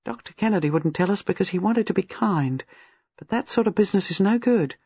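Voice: medium pitch, expressive, brisk, dark tone, husky, no room sound, pitched above the performer's own voice. A narrator voicing an anxious, earnest young woman who falters as she speaks. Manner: urgent, faltering. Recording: dull lo-fi dry recording.

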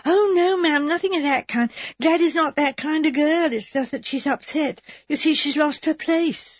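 A woman's voice: high-pitched, normal speed, no echo, dull audio, voice pushed up, natural voice. A woman voicing an anxious young housemaid who rambles and pleads breathlessly.

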